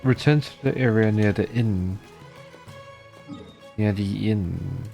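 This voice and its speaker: A man's voice: on the low side, slow, gravelly, dark tone, faint music behind. A man streaming a game playthrough with casual, laid-back, brief reactions. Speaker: gaming streamer. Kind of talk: casual playthrough commentary, reading some narration aloud.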